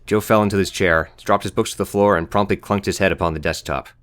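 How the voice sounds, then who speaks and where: A man's voice: low-pitched, steady tone, quick pace, a bit bright, dry recording, clean studio sound. A man narrating an audiobook story in a scripted storytelling style.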